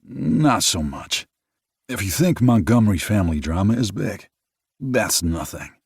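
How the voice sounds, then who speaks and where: A man's voice: fairly low pitch, very animated, a little slow, vocal fry, husky, dry recording, studio quality, voice pushed up, heavily acted. A man voicing a weary, bitter adult man fed up with his own family, swearing freely.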